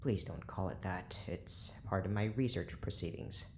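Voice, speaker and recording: Man acting cold, low-voiced, officious prison doctor. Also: moderate pace, a little mellow, a little hiss or hum, close to an everyday voice. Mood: impatient, curt. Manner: clipped, formal, curt. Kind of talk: audio drama acting.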